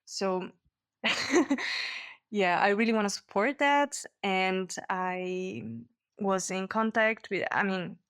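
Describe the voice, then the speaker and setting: A woman's voice: medium pitch, takes it slowly, long pauses, fairly bright tone, clean tone. Woman, laughing a lot. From Switzerland. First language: Italian. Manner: laughs often between sentences, cheerful. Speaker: guest, technical diver. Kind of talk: casual podcast interview.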